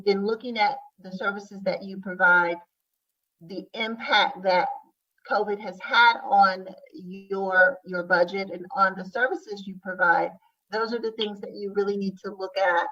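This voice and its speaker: A woman speaks slowly as an official at a public hearing. Her explanations are formal and halting, and she sounds muffled, some way from the microphone. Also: mid-range voice, fairly bright tone. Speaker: city grants official answering questions. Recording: muffled audio, distant microphone.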